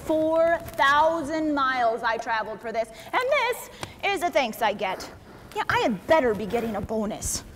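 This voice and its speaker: High, swooping, exasperated officious messenger played by a woman. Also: sing-song, normal speed, a bit bright. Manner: theatrical, indignant, recites rules pompously. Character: personified idea, officious, exasperated, rule-quoting female messenger. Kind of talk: comic stage play acting. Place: theatre stage at a play festival. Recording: echoey stage hall, clear background noise.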